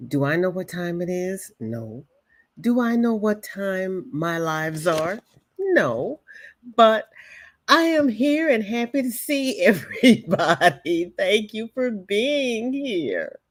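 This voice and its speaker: A woman's voice: medium pitch, sing-song, takes it slowly. A woman hosting a crochet livestream, chatting casually and greeting viewers by name as their comments come in. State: voice may be weak, hoping it holds up. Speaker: crochet channel host greeting livestream chat.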